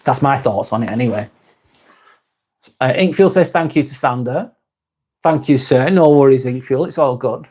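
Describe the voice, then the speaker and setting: Man, mid-pitched, rambling live-stream host, laid-back, swears casually. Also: normal speed, pauses often. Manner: relaxed, rambling, frequent restarts and swearing. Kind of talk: casual live chat reading comments.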